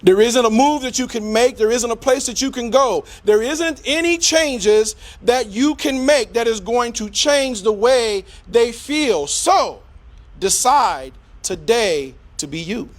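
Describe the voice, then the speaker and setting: A middle-aged man giving an impassioned, preacher-like public speech in a deliberate, rhetorical manner with emphatic repetition. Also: very high voice, lively intonation. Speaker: community speaker.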